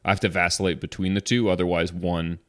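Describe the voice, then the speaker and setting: Deep-voiced relaxed male podcast host. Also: fast, dry recording, clean studio sound. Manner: relaxed, rambling, frequent restarts. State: slightly congested. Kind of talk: casual podcast chat.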